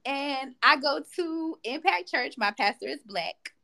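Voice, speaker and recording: A woman in her mid twenties chatting as a guest, expressive and sassy with quick comebacks. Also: high voice, gravelly. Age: 20s. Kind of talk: casual chat.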